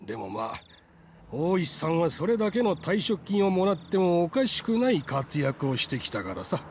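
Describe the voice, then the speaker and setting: An actor playing a courteous, calculating police chief in a Japanese visual novel, politely applying pressure. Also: male voice, fairly high pitch, very animated, fast, slight background noise. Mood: politely pressuring. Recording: game audio over insect and street ambience.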